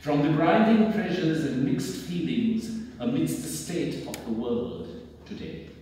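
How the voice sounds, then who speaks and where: A man's voice: on the high side, big pitch swings, measured pace, mellow. An older man reads a formal speech aloud in a declamatory, theatrical, emphatic way. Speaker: speaker reading a formal message on stage. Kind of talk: formal read speech, oratorical. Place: indoor theatre stage. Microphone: far camera in the audience. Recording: very echoey theatre hall, distant mic, faint noise.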